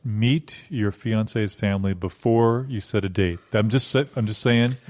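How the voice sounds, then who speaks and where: Man playing deadpan small-town radio co-host, lowish voice, mild, hedging, dryly cynical, improv comedy banter. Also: measured pace, lightly acted.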